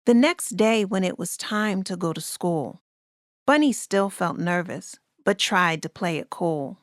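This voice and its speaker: A woman reading a rhyming children's story aloud in a deliberate, expressive way as its narrator. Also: lowish voice, dry recording, studio quality.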